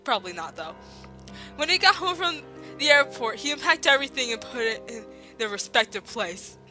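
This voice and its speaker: A young woman with a slight lisp, reading fan fiction aloud over a voice call, swearing and breaking into laughter. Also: a little high. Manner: breaks into laughter, swears, fast excited delivery. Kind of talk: giggly casual dramatic reading. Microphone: voice call microphone. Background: faint classical music.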